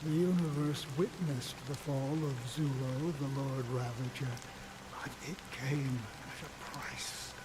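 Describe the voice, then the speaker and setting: Game cutscene: actor as a tired, resigned ranger, slow measured lines, steady rain behind. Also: male voice, mid-range voice, background noise, close to an everyday voice. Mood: weary. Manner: slow, reflective, heavy with resignation. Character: weary, world-worn older male ranger. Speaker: game character.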